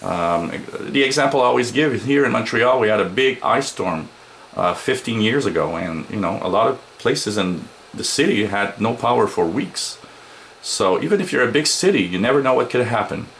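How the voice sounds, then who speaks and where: A man giving a casual hands-on gadget review, explaining things plainly and haltingly as the reviewer. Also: on the low side, vocal fry. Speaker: product reviewer. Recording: noisy home recording, steady background noise.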